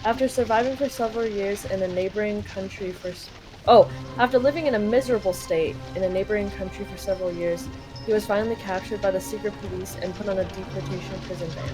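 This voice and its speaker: A woman's voice: medium pitch, quick pace, over music, poor audio. A young woman from Canada, talking casually and swearing freely as she translates game text aloud for a friend over a call. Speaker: live translator for a friend's playthrough.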